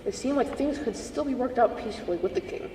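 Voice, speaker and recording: A man's voice: very high voice, lively intonation, fast, dark tone. A teenage boy playing reasonable, polite historical characters in an amateur puppet show, reciting the script matter-of-factly. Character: reasonable, knowledgeable debater, later a grateful wounded soldier. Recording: echoey room, distant mic, faint noise.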